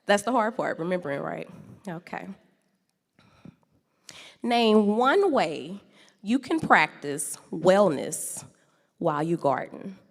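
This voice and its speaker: Loud, animated woman in an echoey hall. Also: on the low side, slow pace, gravelly, long pauses. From St. Louis, USA. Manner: loud, animated, playful with the audience. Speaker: guest speaker. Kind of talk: lively public talk with audience games.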